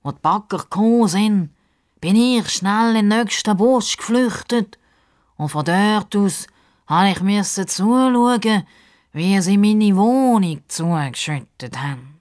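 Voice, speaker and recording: Children's story crocodile, played by a woman: drawn-out, pompous, easily miffed, formal in every phrase. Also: mid-range voice, animated, a little mellow. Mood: grave, offended. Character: pompous, slightly huffy crocodile, formal and unhurried. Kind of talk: children's radio story character acting.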